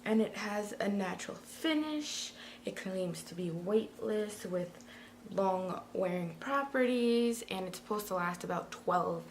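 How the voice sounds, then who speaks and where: Chatty woman, slightly raspy morning voice. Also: medium pitch, lively intonation, measured pace, a little hiss or hum. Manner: chatty, talks to viewers directly. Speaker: beauty vlogger. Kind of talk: casual product review.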